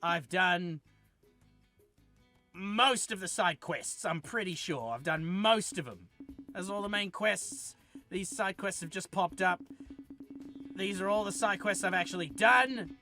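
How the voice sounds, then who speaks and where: Man, game reviewer, casual rambling talk, self-correcting, slightly high voice, faint music behind. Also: a little slow, pauses often, pushed and bright.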